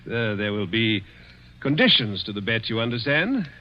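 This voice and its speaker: A man's voice: lowish voice, big pitch swings, normal speed. An actor playing a cruel, dying rich man who taunts with sly chuckles in a 1940s radio drama. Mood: menacing. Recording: dull old radio broadcast.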